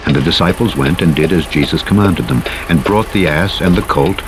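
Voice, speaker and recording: A man narrating the Bible in a solemn, measured scripture-reading style with archaic phrasing. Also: deep voice. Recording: clear engine and vehicle noise behind. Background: truck engine noise from a driving game.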